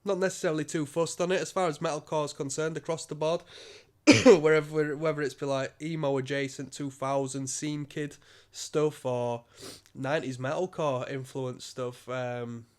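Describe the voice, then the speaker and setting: A man's voice: fairly high pitch, steady tone, normal speed. A man with a cold, giving a rambling casual album review full of ums and restarts. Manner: rambling, many ums and restarts, occasional coughs. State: stuffy nose from a cold. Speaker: music reviewer.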